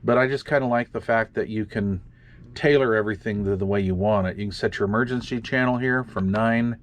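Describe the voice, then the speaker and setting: A man casually walking through radio software in a makeshift home studio, often trailing off and muttering to himself as he tinkers. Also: fairly low pitch, a little fast. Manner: muttering to himself while fiddling with gear, many fillers. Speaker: radio hobby video host. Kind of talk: casual hands-on demonstration.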